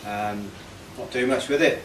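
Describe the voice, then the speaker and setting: A man in his fifties giving an impromptu, sincere thank-you speech in a halting way. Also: mid-range voice, fairly bright tone. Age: 50s. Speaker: birthday honoree giving a speech. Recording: echoey, noisy room, distant microphone.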